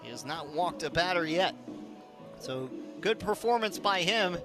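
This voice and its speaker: High-voiced man calling baseball on radio. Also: animated, a little slow, over music. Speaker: baseball play-by-play announcer. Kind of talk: live radio sports commentary. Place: live at baseball games.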